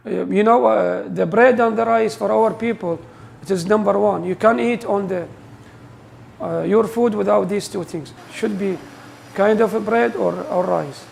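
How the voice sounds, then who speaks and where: A man's voice: high voice, measured pace, creaky, a little mellow, a little hiss or hum. A man from Iraq, a grocery store co-owner speaking hesitantly in a documentary interview. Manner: hesitant, frequent "uh" fillers.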